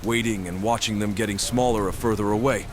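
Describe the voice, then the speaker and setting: A man playing a brooding stalker who narrates an audio drama in deliberate, detached, literary phrases. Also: on the low side, steady tone, a little hiss or hum, close to an everyday voice. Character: brooding male stalker narrating his nightly watch. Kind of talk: scripted first-person narration, audio drama.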